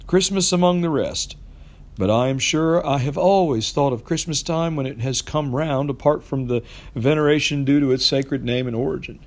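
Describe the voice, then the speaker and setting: A man's voice: a little high, normal speed, voice pushed up, close to an everyday voice. A man voicing a cheerful, earnest young nephew in a storybook reading, pleading and good-hearted in manner.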